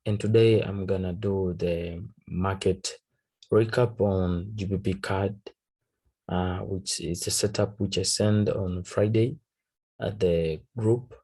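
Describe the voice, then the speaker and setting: A man with a Tanzanian accent, a native Swahili speaker, casually talking through a trade recap in halting English, searching for words. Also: deep voice, steady tone, warm tone, breathy edge. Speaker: forex trader. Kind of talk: casual trading recap over a chart.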